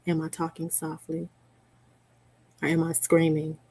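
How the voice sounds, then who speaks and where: Middle-aged woman, unhurried livestream host on a headset mic, chatty and laughing, greets viewers by name. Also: low-pitched, monotone, dark tone, slightly rough. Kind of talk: casual live-stream chat with viewers.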